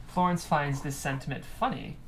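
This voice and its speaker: A woman's voice: low voice, normal speed, slight background noise. A woman reading stage directions from a script as the narrator.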